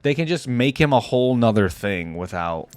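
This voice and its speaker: A man's voice: mid-range voice, lively intonation, normal speed, darkish tone, slight background noise. A man giving casual, off-the-cuff reaction commentary, like a video creator talking through what he sees.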